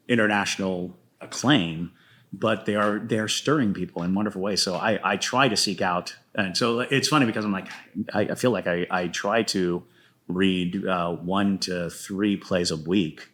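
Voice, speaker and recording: A man talking casually as a playwright guest in an interview, enthusiastic, with a rambling, halting delivery. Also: on the low side, a bit bright.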